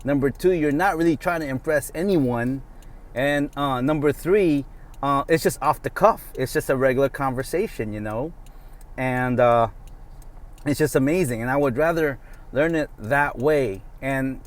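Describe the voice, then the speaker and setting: A man's voice: medium pitch, moderate pace. A middle-aged man whose first language is Tagalog, talking off the cuff in a rambling vlog with many fillers, recorded while driving. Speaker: vlogger. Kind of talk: casual off-the-cuff vlog talk.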